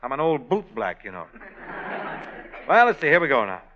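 A man's voice: medium pitch, very animated, sharp tone, a little hiss or hum. A man playing a joking song-and-dance man in a 1940s radio play, on a dull old broadcast recording. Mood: breezy. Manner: breezy, joking, quick patter. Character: wisecracking male song-and-dance man Jim. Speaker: actor in radio play, also narrator.

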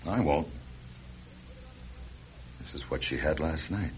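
A man acting a cold, calculating attorney in a radio drama, commanding and deliberate. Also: a bass voice, husky, heavily acted. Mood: concerned. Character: cold, calculating male attorney, controlling schemer. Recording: dull, noisy radio recording.

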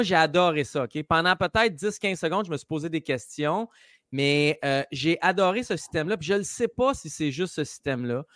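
A man with a Quebec French accent, a podcast guest, talking through his view in a relaxed way. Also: fairly high pitch, no echo, clean studio sound. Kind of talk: casual sports talk.

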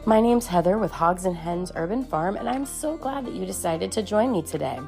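A woman's voice: medium pitch, animated, moderate pace, creaky, dark tone, faint music behind. A woman hosting a podcast, giving an upbeat, friendly welcome and introducing her guest. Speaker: podcast host. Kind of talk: scripted show intro and interview questions.

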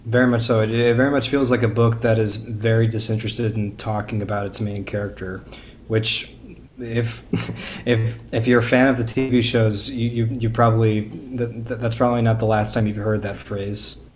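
A man in a casual fan discussion, speaking haltingly as a podcast panelist and often repeating words. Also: on the low side, little pitch movement. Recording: faint background noise.

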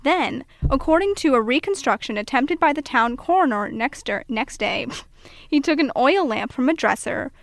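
A woman's voice: high voice, lively intonation, normal speed, no room sound. A young American woman co-hosting a podcast, playful and jokey as she moves between casual banter and storytelling. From Pennsylvania and Iowa, US. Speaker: podcast co-host. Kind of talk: casual banter and true-crime narration.

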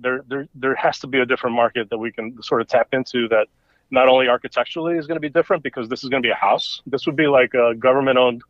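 A man's voice: mid-range voice, fairly even intonation, fast talker, dry recording. A man from El Salvador, a native Spanish speaker, talking casually as a guest, rambling with many fillers and restarts. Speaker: gallery owner, guest. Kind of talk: casual conversation. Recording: dull lo-fi audio.

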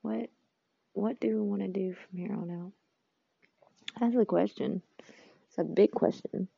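A woman hosting a podcast, talking in a rambling, heartfelt way and often dropping to a soft whisper. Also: mid-range voice, measured pace, creaky, slightly rough, no room sound, dull audio. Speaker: podcast host. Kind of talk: casual reflective monologue.

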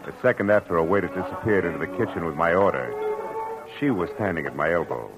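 An actor playing a wry, world-weary, hard-boiled private detective in a 1940s radio crime drama. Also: male voice, deep voice, lively intonation, fast talker, highs cut off. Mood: wry narration. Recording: old radio recording with music.

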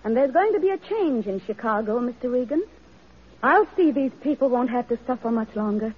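A woman's voice: fairly high pitch, animated, brisk, breathy edge. An actress playing a principled, determined woman social reformer in a radio drama, speaking earnestly and firmly. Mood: righteous, firm. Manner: earnest, firm, declarative. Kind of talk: vintage radio drama acting. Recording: muffled vintage radio, music behind.

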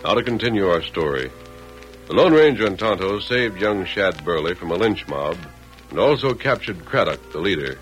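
A man's voice: sits low, big pitch swings, moderate pace, rough recording. An American man announcing and narrating an old radio western in a formal, dramatic manner. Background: music.

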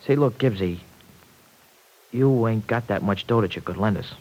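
An actor playing a cocky, big-hearted young rancher with slangy, folksy talk in an old-time radio serial. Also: male voice, lowish voice, moderate pace, a little mellow, lightly acted, low-quality recording. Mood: rueful, casual. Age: young adult.

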